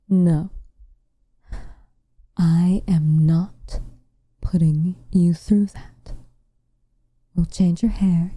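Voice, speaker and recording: A woman playing a tender, protective witch with a dry teasing streak in an intimate ASMR roleplay, speaking softly and breathily, close to the mic. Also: lowish voice, dry recording. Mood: firm, protective. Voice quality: soft, breathy, near-whispered. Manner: gentle, measured, quietly teasing. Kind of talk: intimate ASMR roleplay, scripted character acting. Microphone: close studio mic, dry.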